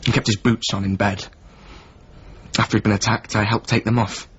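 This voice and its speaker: A man's voice: on the low side, little pitch movement, moderate pace, breathy edge. An actor playing a weary, plain-spoken man in a radio drama, talking in terse, matter-of-fact fragments. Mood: sombre recollection. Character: weary, plain-spoken former companion of a doomed painter. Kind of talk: naturalistic radio drama acting. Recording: muffled recording with faint background noise.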